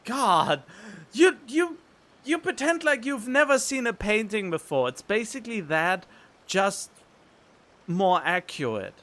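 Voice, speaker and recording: A man's voice: high-pitched, lively intonation, unhurried, a bit bright, a little hiss or hum. A man giving casual game playthrough commentary, musing aloud and talking back to the game into a close studio microphone. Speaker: game streamer. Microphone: close studio condenser microphone. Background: game music.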